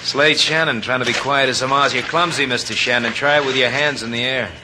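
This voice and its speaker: An actor playing a smug, murderous college-educated villain who talks with mocking politeness in an old-time radio drama. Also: male voice, mid-range voice, fairly even intonation, a little fast, lightly acted. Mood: mocking menace. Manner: mocking, drawling politeness with menace. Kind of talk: 1940s-50s radio drama acting. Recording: noisy old radio broadcast.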